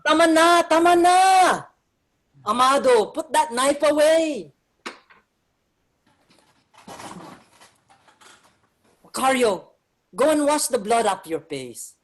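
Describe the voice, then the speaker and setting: A man's voice: very high voice, animated, unhurried, a bit bright, exaggerated voice. An actor playing an earnest immigrant writer, a man in a dramatic staged reading. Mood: frantic, pleading. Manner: reflective storytelling, pleading when alarmed. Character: Allos, earnest, ailing Filipino immigrant writer, younger brother. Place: online virtual staged reading.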